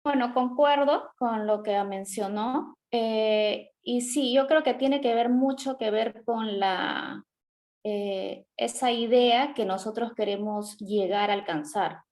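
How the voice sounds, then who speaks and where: A woman speaking as a panel guest in a casual discussion, reflective and measured. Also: fairly high pitch, a little mellow.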